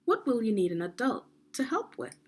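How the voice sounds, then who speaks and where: A woman reading aloud as a teacher for young children, explaining things deliberately and checking in with questions. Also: on the high side, sing-song.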